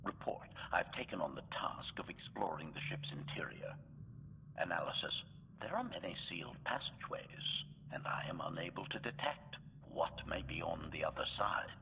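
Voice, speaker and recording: Casting: servile, bloodthirsty game droid, robot-filtered, very animated swings, clipped formal delivery, faint noise behind. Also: male voice, low voice, moderate pace. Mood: coldly formal. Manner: clipped, announces each statement type first. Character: bloodthirsty servile assassin droid, male, coldly eager. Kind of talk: video game cutscene acting.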